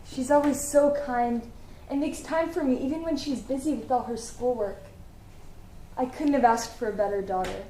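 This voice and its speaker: A woman's voice: on the high side, moderate pace, dark tone, natural voice. A young woman on stage playing a tender, forgetful mother who reads out wistful diary entries to her daughter. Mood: fond, wistful. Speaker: student actor in a one-act play. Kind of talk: dramatic monologue, reading diary entries. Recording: echoey hall, distant mic, faint noise.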